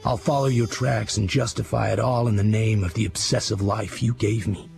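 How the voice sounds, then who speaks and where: An actor playing a brooding American crime writer, narrating his life in terse, intimate radio drama monologue with a husky, gravelly voice. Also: lowish voice, steady tone, normal speed, darkish tone, faint music behind. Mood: intimate, yearning. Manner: terse, hard-edged, intimate. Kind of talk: dramatised first-person scripted narration.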